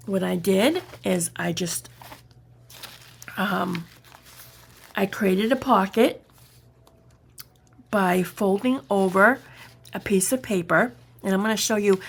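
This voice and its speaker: Unhurried, slightly raspy woman with creaky fry. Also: mid-range voice. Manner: unhurried, chatty, halting as she shows items. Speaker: craft vlogger. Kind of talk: casual show-and-tell craft narration.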